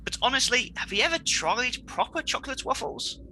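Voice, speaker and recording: A man's voice: very high voice, animated, a little fast, bright, forceful tone, slight background noise, full character voice, rough recording. A man playing a flamboyant, egotistical villain in a comedy radio play, pompous, petulant and melodramatic. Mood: gleefully defiant.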